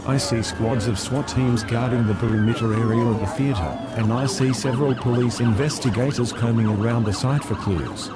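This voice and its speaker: A man's voice: medium pitch, lightly acted. A man playing a TV field reporter, giving a scripted, serious live report from a crime scene. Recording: very loud sirens and traffic noise. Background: police sirens, vehicles, street ambience.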